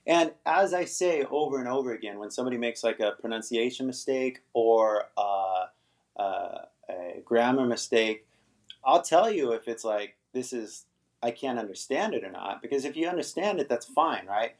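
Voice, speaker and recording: A man's voice: mid-range voice, expressive, normal speed. A middle-aged man hosting an English-teaching livestream, chatting casually with viewers and rambling, often tagging "right?" onto his points. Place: Los Angeles.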